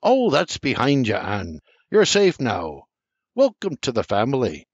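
A man voicing a steady, kindly pipe-smoking farm father with low, slow dialect speech. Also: no room sound, heavily acted. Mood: reassuring. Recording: dull lo-fi dry recording.

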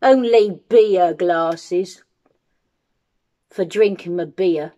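A woman voicing a very old, toothless giant in a storybook, gruff and grumbling, with booming, rustic, ungrammatical speech. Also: drawn-out words, mellow, muffled recording. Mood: glum, grumbling.

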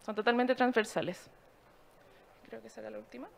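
High-voiced woman presenting formally. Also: a little fast, vocal fry.

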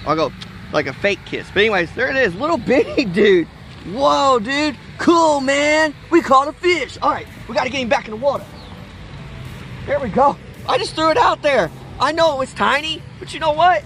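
A high-pitched American man hosts a casual outdoor vlog. He talks in a folksy, chatty way straight to viewers. The handheld camera mic picks up light ambient noise around him. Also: animated, moderate pace, fairly bright tone. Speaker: vlog host, father fishing with family. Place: outdoors beside a park pond. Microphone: handheld phone camera a few feet away.

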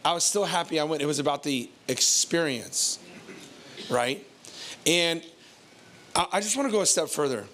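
An American male pastor delivers an informal sermon in a bright, forceful voice pitched a little high. He is animated and conversational, using colloquial phrasing and repeating phrases for emphasis. He speaks into a handheld microphone from a church stage. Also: a little hiss or hum.

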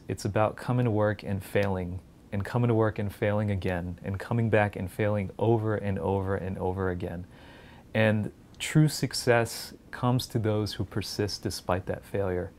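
A man's voice: on the low side, steady tone, normal speed, darkish tone, a little hiss or hum. A man, earnestly talking to camera in an indoor research lab on a lapel mic. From India, raised in New Jersey. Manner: earnest, encouraging. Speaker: pediatric clinical fellow.

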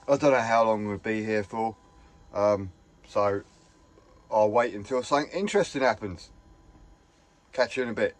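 A man chatting casually to camera from inside his camper van, rambling and enthusiastic, his voice a little hoarse. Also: fairly low pitch, moderate pace, pauses often. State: slightly hoarse. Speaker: vlogger. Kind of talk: casual vlog chat.

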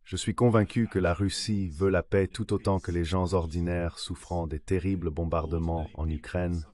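Very deep male voice-over. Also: steady tone, moderate pace, a little mellow. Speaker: translated voice of an interview guest. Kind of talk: AI voice-over translation of a political interview.

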